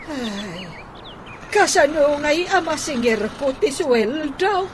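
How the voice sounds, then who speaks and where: A woman with an Ilocano accent playing an aggrieved, nagging older housemaid in a radio comedy drama, full of halting interjections. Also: high-pitched, animated. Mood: exasperated. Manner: halting, peppered with sighing interjections. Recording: noisy background with ambient bird sounds.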